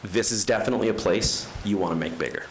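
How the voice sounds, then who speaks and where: Earnest low-voiced man at public comment. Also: quick pace, very noisy. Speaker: resident speaking at public comment. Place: county commission chamber.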